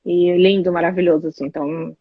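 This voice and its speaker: A woman's voice: lowish voice, brisk, slightly rough, dry recording, phone-quality sound. A Brazilian woman with a Brazilian Portuguese accent, chatting casually as a guest, telling stories with lots of fillers. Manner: chatty, animated storytelling with many fillers. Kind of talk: casual chat.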